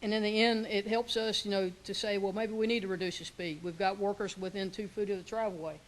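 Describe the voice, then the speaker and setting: A man's voice: sits high, level tone, fast talker, slight background noise, low-quality recording. A man giving an informal conference presentation, with many fillers and self-corrections. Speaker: presenter, transportation official.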